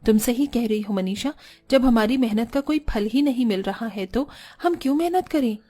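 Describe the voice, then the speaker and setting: A woman voicing a weary, poor village daughter-in-law, plaintive and earnest, in an animated family story. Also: on the high side, moderate pace, natural voice. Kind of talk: animated Hindi storybook dialogue.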